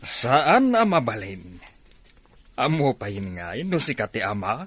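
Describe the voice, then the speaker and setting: A man playing a proud, ambitious middle-aged father in an Ilocano radio drama, husky, with an Ilocano accent. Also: medium pitch, wildly swooping pitch, a little slow, fairly bright tone, dry recording, heavily acted. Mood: disapproving.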